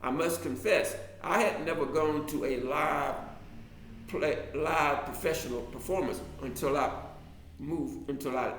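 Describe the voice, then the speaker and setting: A man's voice: fairly high pitch, measured pace, a bit bright, echoey room, a little hiss or hum. An older man from the US South with a mild Southern American accent, an author and lecturer talking to a class in a rambling way, full of restarts and fillers. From Alabama, US South. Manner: digressive, many restarts and fillers.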